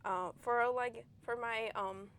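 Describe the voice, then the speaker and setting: A woman's voice: a little high, fairly even intonation, takes it slowly, fairly bright tone. Young woman. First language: Spanish. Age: young adult. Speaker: guest, former student. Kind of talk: interview.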